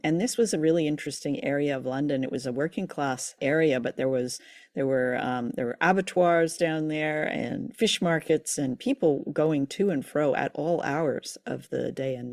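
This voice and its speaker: A woman's voice: rumbling low, darkish tone, no echo, clean studio sound. A woman co-hosting a show, chatting informally and telling stories about old radio drama. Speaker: podcast co-host.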